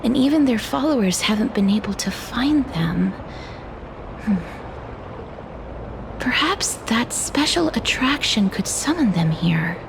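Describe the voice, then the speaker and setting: A woman playing a gentle, earnest young adventurer in a video game, explaining things in a soft, solemn, thoughtful way. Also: on the high side, very animated, unhurried, pauses often, background noise, heavily acted. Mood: solemn, pensive. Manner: soft, solemn, breathy sighs. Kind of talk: video game dialogue, expository. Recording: music behind the voice.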